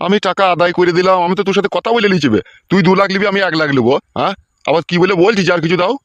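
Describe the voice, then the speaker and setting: Man voicing a fast-talking, bossy village schemer. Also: high-pitched, no room sound, muffled recording, natural voice. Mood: irritated boasting. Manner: scolding, crude slang, hectoring. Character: scheming, bossy village uncle, greedy and foul-mouthed. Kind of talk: Bengali comedy cartoon dubbing.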